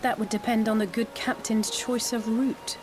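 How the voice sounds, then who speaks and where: A woman's voice: a little high, level tone, normal speed, background noise, close to an everyday voice. A woman voicing a poised, inquisitive companion in a video game. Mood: wry, curious.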